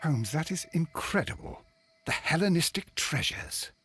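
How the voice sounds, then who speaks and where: An actor playing an earnest, wondering gentleman companion, in a video game. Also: a little high, lively intonation, measured pace, soft background music. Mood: astonished.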